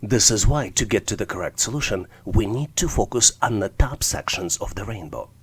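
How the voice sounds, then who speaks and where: A man's voice: on the low side, animated, normal speed, crowd noise. A man narrating an explanatory tutorial as an instructor, walking through things methodically, step by step. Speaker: test-prep instructor.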